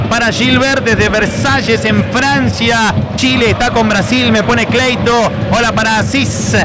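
A man hosting a live football stream, greeting viewers by name and often repeating his phrases. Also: very high-pitched. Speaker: livestream football host. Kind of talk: live chat-reading sports commentary. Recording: loud vehicle and street noise.

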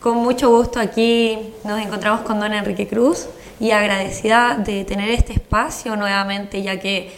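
A woman's voice: mid-range voice, fairly even intonation, moderate pace, hardly any pauses. A woman with a Chilean accent speaking politely and formally as a regular on a live radio show.

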